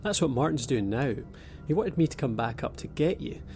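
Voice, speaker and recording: A man voicing a dry-humoured young abductee with a gravelly, creaky edge to his voice. Over a prominent music score in an audio drama, he keeps a sardonic calm. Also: medium pitch, fairly quick. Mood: wry. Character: wry young man named Paul, abductee.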